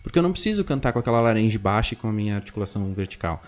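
A man's voice: lowish voice, very animated, racing. A young man with a southern Brazilian accent explaining things in casual conversation as a podcast guest, tagging his points with little check-in questions, close on a studio microphone. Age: young adult. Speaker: podcast guest, singing teacher. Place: small indoor podcast studio. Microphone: close podcast microphone on boom arm.

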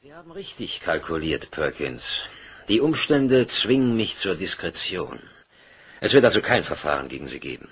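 An actor playing a stern, exasperated older bank director, curt and authoritative, in a German crime radio play. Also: male voice, deep voice, big pitch swings, normal speed, fairly bright tone, exaggerated voice. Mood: stern, controlled.

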